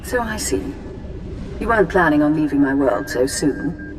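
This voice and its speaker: An actress playing a commanding, seductive Sith lord in a video game, speaking in a measured, imperious way. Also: female voice, low voice, animated, background noise. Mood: cool command. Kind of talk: dramatic video game acting. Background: background music.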